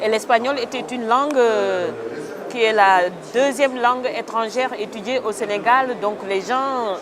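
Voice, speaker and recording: A woman's voice: fairly high pitch, normal speed. A woman from Senegal, an author answering interview questions outdoors in a thoughtful, hesitant way with many fillers. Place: outdoors in a town. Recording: crowd noise outdoors. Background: crowd chatter.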